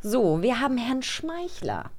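A woman co-hosting a podcast in casual, playful chat, expressive and jokey. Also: a little high, measured pace. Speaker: podcast co-host.

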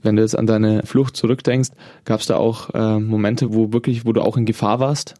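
A male interviewer asking plain, direct questions. His voice is dark and recorded dry and close. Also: on the low side, little pitch movement, normal speed, no echo.

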